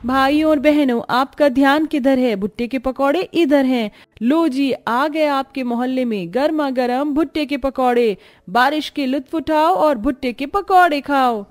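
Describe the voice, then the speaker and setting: A woman's voice: high-pitched, unhurried, voice pushed up. A woman voicing a cheerful female street vendor in a cartoon, calling out to customers in a punchy, inviting way. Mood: cheerful hawking. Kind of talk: cartoon street hawker calls.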